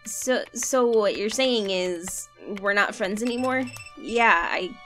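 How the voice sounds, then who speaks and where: A woman voicing a meek, resigned friend who speaks in a halting, subdued, wounded way. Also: medium pitch, sharp tone, soft background music. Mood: hurt resignation.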